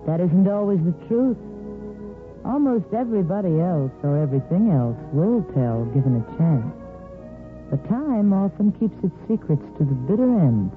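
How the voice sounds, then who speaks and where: A woman's voice: fairly low pitch, lively intonation, moderate pace, music in the background, close to an everyday voice. An actress as a knowing woman storyteller, narrating a 1950s radio soap opera with dramatic phrasing. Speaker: storyteller host. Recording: tinny 1950s radio recording.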